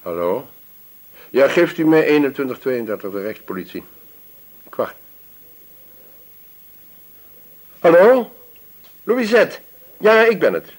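A middle-aged male police sergeant in a radio play. His husky voice rises and falls freely at a middle pitch, obliging and practical, deferring to his superior. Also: big pitch swings, a little slow, long pauses. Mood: hearty, businesslike. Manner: obliging, matter-of-fact. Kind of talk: Dutch radio drama acting.

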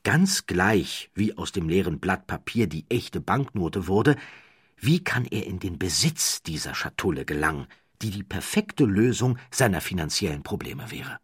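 A man narrating a scripted audiobook story in a measured way with dramatic emphasis. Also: low-pitched, very animated, breathy edge, dry recording, clean studio sound.

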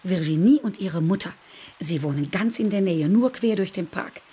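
An actress playing a kindly, fussing carer in a radio drama, talking in an effusive, chatty way. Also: female voice, fairly low pitch, normal speed, mellow, slightly rough. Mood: gossipy.

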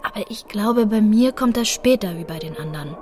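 A woman's voice: mid-range voice, lively intonation, fairly quick, a little mellow, soft background music, natural voice. A woman playing a devoted, earnest young working-class wife in a German radio drama, speaking plainly and intimately. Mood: reticent.

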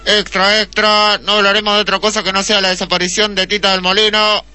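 Guy doing a loud newsboy crier, sharp clear high voice, radio comedy. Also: level tone, fairly quick, no echo, faint music behind. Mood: urgent. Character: loud, eager male newspaper crier. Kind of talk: comic radio play acting.

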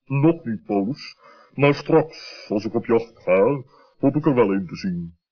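A wise, stern owl, acted by a man, speaks in a husky voice with deliberate, fatherly authority and some pomposity. The accent is Netherlands Dutch, and the old radio play sound is muffled. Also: medium pitch, animated. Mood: inquiring, composed. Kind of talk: 1960s children's radio play acting. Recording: muffled vintage radio recording.

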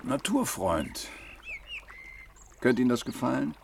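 A man's voice: mid-range voice, wildly swooping pitch, takes it slowly, heavily acted. An actor playing a reserved, awkwardly flirting man in his mid-thirties in a radio play, speaking in terse, dry, hesitant phrases. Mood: shy, dry. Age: mid 30s. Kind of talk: naturalistic radio drama dialogue. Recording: outdoor scene with clear water noise. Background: lapping, trickling lake water.

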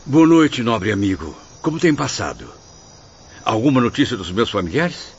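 A man's voice: mid-range voice, big pitch swings, moderate pace, gravelly, a little hiss or hum. An actor playing a sincere, emotional spirit of a Portuguese man in a radio drama, with formal, theatrical diction. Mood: eager. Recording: dull radio recording with music behind.

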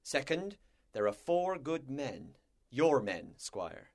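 Storybook sea captain, acted by a male reader, stern, distrustful, blunt, commanding, mid-pitched. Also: very animated, slow pace, darkish tone. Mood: firm. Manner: curt, commanding. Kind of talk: storybook character dialogue.

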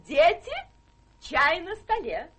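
A woman's voice: high voice, very animated, slow, a bit bright, exaggerated voice. An actress playing a motherly lady in a radio drama, calling out in a theatrical singsong. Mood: cheerful, summoning. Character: motherly lady calling the young people to tea.

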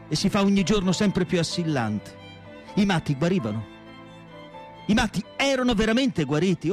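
A male actor playing a tormented asylum psychiatrist in a solemn, deliberate radio monologue with dramatic pauses. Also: fairly high pitch, close to an everyday voice. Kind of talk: dramatic literary monologue, theatrical radio acting.

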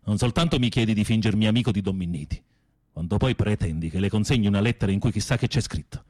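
An actor playing a tormented, fearful, lovesick former teacher in prison in a radio drama, husky and pleading, with a poetic, theatrical delivery. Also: male voice, fairly low pitch, fast, a little mellow, dry recording, studio quality, natural voice. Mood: frightened pleading. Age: middle-aged. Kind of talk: intimate radio drama acting.

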